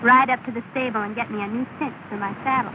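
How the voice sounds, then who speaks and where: A woman's voice: a little high, fairly even intonation, fast, lightly acted. An actress playing a poised, kindly, lightly teasing young society woman in a 1930s film. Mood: light, evasive. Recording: tinny, noisy 1930 film soundtrack.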